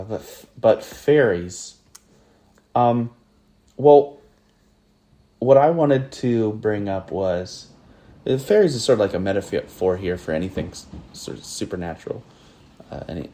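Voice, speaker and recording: A man's voice: low voice, measured pace, long pauses, dark tone. A man from northern Ohio with a General American accent, hosting a casual podcast conversation and talking in a hesitant way, with many fillers and restarts. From northern Ohio, USA. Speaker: podcast host.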